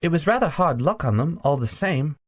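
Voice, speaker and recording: A man's voice: on the high side, animated, brisk, dry recording, voice pushed up. A man voicing an earnest, curious young boy in an audiobook, with an eager, questioning manner.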